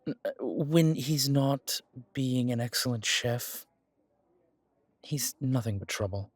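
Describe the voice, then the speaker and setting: A man playing a charming, smitten mafia boss on a date in an intimate, conversational audio roleplay, teasing and earnest with a husky voice. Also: medium pitch, slow pace, dry recording. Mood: playful, bantering.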